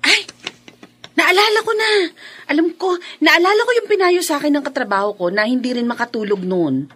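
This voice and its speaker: A grown Filipina daughter in a radio drama, eager and talkative. Her voice is high and bright, with an emphatic conversational flow. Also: brisk. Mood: eager, reassuring. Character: eager, chatty adult daughter and wife.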